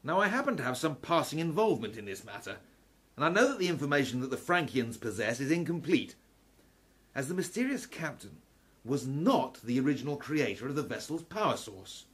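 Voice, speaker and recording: A man's voice: medium pitch, very animated, fairly quick, a bit bright, breathy edge. A man playing a learned, wry Victorian professor in a steampunk radio play, articulate and dramatically lecturing.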